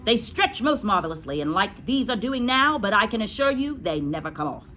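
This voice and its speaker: Female reader as cruel storybook headmistress, shouting, contemptuous, emphatic, highly animated, faint room noise. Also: a little fast. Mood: shouting, bullying.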